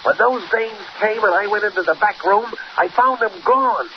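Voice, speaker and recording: A man's voice: high-pitched, normal speed, natural voice. An American actor playing an agitated, slangy small-time crook and henchman in 1940s radio style. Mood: agitated, urgent. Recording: noisy, muffled old 1940s radio recording.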